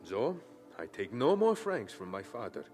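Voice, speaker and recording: An actor playing an earnest, devout young German theology student with a German accent, speaking fervently and deliberately in a radio drama. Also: male voice, fairly low pitch, big pitch swings, a little mellow, faint music behind. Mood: earnest conviction.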